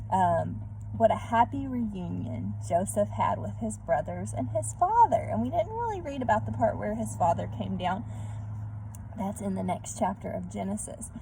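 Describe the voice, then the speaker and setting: A woman reading a storybook aloud to children in a gentle manner, pausing to put questions to her young listeners. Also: mid-range voice, vocal fry, rough recording. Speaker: read-aloud host for children. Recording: background noise.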